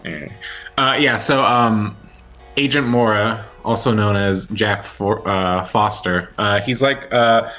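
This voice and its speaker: A man's voice: lowish voice, fairly even intonation, takes it slowly, pushed and bright, faint music behind. A man playing a tabletop role-playing game, speaking casually and hesitantly as he improvises his part. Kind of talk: casual improvised character description.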